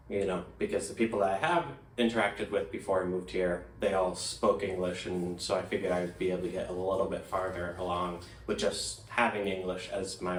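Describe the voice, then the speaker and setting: An American man giving reflective interview answers in a halting way, thinking aloud as he goes. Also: sits low, slight background noise. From United States. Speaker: interviewee, migrant. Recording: echoey room, distant microphone.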